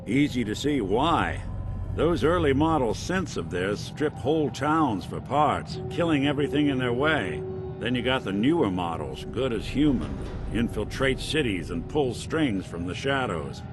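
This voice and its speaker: An actor with an American accent playing a world-weary, wry android private detective in a video game, in a hard-boiled noir delivery. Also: male voice, medium pitch, very animated, unhurried, over music. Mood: grim, explaining.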